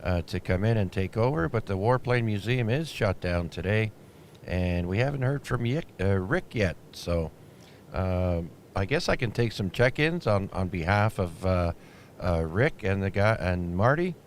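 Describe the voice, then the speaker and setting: A man acting as net controller on an amateur radio net, talking in a relaxed, halting way with many fillers. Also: deep voice. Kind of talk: ham radio net chatter. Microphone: radio transceiver mic. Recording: noisy shortwave radio audio.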